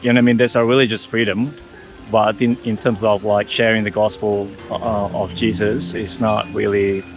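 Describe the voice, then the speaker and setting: Lowish-voiced Indonesian-accented young man. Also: level tone, moderate pace, highs cut off. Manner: casual, with repeated restarts. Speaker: designer for a mission group. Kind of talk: interview. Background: soft background music.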